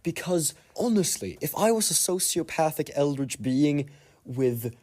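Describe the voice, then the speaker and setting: A man's voice: a little high, lively intonation, unhurried, a little mellow. A man giving casual, rambling commentary in a listener voice message.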